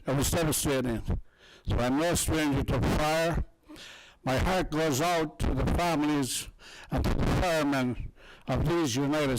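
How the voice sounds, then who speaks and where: A man's voice: fairly high pitch, noisy background. An older man from Ireland with an Irish accent, a guest telling wry stories and reading his poem at a town meeting. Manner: wry, chuckling storyteller. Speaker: guest poet.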